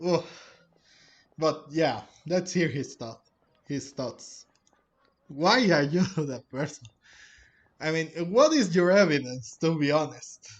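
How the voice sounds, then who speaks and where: Slow-talking man reacting to a game. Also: on the high side, lively intonation. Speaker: gaming streamer. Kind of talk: casual reaction commentary over gameplay. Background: faint game music.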